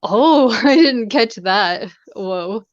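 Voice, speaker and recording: A woman co-hosting a casual book chat on a livestream, informal and a little halting. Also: a little high, animated, dull audio, a crowd in the background. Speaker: livestream co-host discussing a book. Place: indoors, livestream call.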